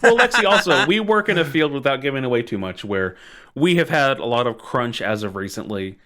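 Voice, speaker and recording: A man's voice: mid-range voice, animated, fairly quick, noisy background. A man co-hosting a podcast, presenting topics in a casual, playful, joking way. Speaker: podcast co-host.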